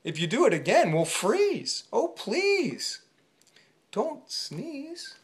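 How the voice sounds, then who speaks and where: A woman's voice: a little high, wildly swooping pitch, slow, exaggerated voice. A woman playing a pleading storybook fish. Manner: pleading rhyme. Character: shivering thin-skinned fish.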